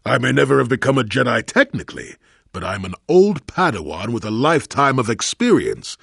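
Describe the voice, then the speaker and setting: A man playing a crotchety, wry old hermit Jedi in a video game, grumbling in a folksy, sardonic way with a gravelly, husky voice. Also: medium pitch, sing-song, a little fast, fairly bright tone, no echo, studio quality, full character voice. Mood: reflective, wry. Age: older. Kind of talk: video game character acting.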